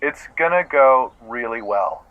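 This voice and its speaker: A man's voice: medium pitch, expressive, unhurried. A man playing an eager, awkward, gushing out-of-work actor in a comedic audio play, rambling and repeating himself through a phone filter. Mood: upbeat, oblivious. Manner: rambling, repetitive, effusive. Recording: thin phone-like band-limited line.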